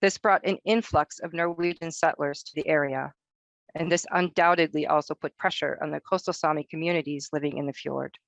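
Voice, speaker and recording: A woman from Northern Norway, a researcher giving a prepared academic presentation, reading her text in a measured way. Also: low-pitched, steady tone, vocal fry, no room sound, dull audio.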